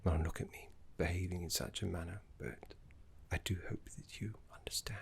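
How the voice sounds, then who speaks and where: A man playing a gentle, wistful elderly necromancy professor in a breathy, husky half-whisper, halting and genteel, as an ASMR roleplay. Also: deep voice, heavily acted. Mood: gentle, soothing. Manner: halting, genteel, formal wording.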